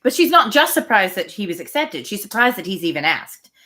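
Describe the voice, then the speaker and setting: A woman reading a classic novel aloud, giving the dialogue an expressive, shifting delivery, then chatting about the story. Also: medium pitch, brisk. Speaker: reader and host.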